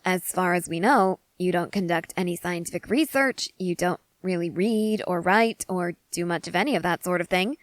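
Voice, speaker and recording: A woman's voice: lowish voice, no echo, lightly acted. A woman playing an eager, intensely curious researcher-soldier who rambles in precise, analytical sentences in a scripted ASMR roleplay. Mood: musing, curious.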